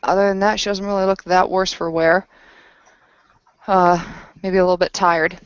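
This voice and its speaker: A woman narrating a tabletop roleplaying session in a hesitant, halting way. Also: lowish voice, flat delivery, clean tone, no echo. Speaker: game master and player. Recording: dull lo-fi voice recording.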